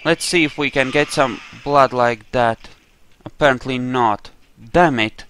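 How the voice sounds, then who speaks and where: A man's voice: medium pitch, unhurried, fairly bright tone, smooth. A man giving casual, conversational commentary as he plays a video game, with a sweary streak. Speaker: gamer, playthrough host.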